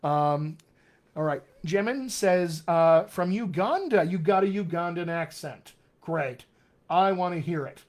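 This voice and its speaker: Upbeat American man, higher voice. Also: unhurried. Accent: General American with hints of West Texas and upstate New York. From Colorado, USA. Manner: upbeat, enthusiastic, teacherly. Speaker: dialect coach hosting a live Q&A. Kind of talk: casual live-stream Q&A.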